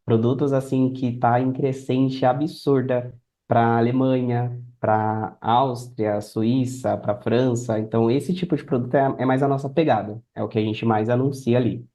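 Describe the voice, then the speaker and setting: Mellow Brazilian man explaining in conversation. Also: medium pitch, steady tone, normal speed. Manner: explanatory, anecdotal. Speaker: podcast guest, affiliate marketer. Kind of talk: conversational interview answers.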